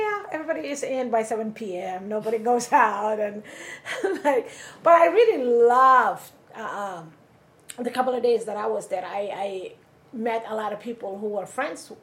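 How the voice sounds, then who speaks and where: Woman from Somalia telling her story. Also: on the high side. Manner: conversational storytelling, animated when quoting people. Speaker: interviewee. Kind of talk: oral history interview.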